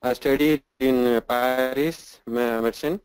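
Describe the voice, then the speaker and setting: Hesitant man student reading haltingly. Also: medium pitch, fairly even intonation, clean tone, low-quality recording. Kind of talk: reading a text aloud in class.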